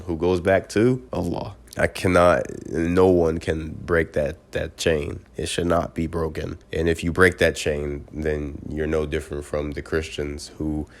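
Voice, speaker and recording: Congested man, extremely deep and fairly monotone, earnest solo vlogger who restarts words. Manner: earnest, often restarts words mid-syllable. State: congested from a cold. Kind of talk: casual personal video reflection.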